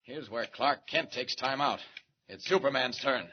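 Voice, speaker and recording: An actor playing a calm, wry male reporter who is secretly a superhero, in a 1940s radio serial. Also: medium pitch, a little slow, close to an everyday voice. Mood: determined. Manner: calm, wry and self-assured. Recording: muffled old radio recording with hiss.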